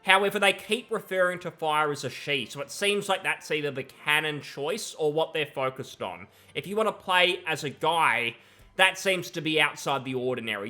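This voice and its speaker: A man's voice: fairly high pitch, pushed and bright. A young adult man giving casual, opinionated reaction commentary in a sardonic, dismissive manner, on a close mic in a small room. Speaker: video commentator. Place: small plain room indoors. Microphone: close boom-arm mic, headset on.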